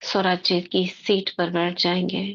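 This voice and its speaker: A woman guiding a spiritual meditation in slow, soft short phrases with long pauses. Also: lowish voice, monotone, dull audio. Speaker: meditation guide. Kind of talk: guided meditation commentary.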